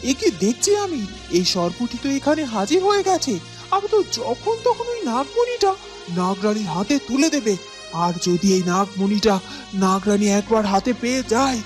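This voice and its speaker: A woman's voice: mid-range voice, lightly acted. A woman voicing a scheming female serpent in a fairy-tale cartoon, urgent, anxious and melodramatic.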